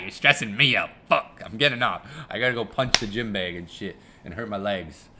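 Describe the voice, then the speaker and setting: A man's voice: medium pitch, bright, forceful tone. A young man hosting a casual live stream, rambling, chuckling and swearing casually as he repeats himself. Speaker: live-stream trading host.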